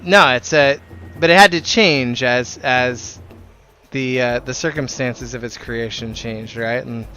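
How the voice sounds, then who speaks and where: A man's voice: medium pitch, a little slow, bright, forceful tone, dull audio, a little hiss or hum. A man who leads a gaming group, talking casually while streaming a game, earnest and rambling, with some casual swearing.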